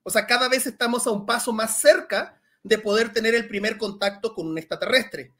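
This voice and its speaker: A man in his thirties from Chile, with a Chilean accent mixed with Mexican Spanish, casually explaining biology in a live Q&A over a headset at home, with a relaxed manner and many filler words. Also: high voice, a little fast. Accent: Chilean with some Mexican Spanish. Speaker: science communicator guest. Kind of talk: casual Q&A talk on a video call. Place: small room at home. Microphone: headset on a video call.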